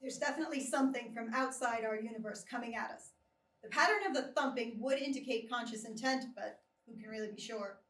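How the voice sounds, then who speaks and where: An actress in a live script reading playing a matter-of-fact, precise operations manager at a research facility. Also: female voice, fairly high pitch, fast, a bit bright, lightly acted. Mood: businesslike. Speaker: actor in a live screenplay table read. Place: small live theatre stage. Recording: distant, echoey live theatre recording.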